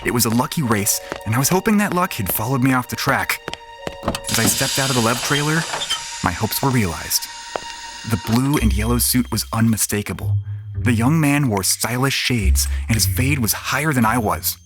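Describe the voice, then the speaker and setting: A man's voice: medium pitch, animated, moderate pace. A man reading first-person sci-fi narration in a brooding, hard-boiled manner. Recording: loud music under the voice.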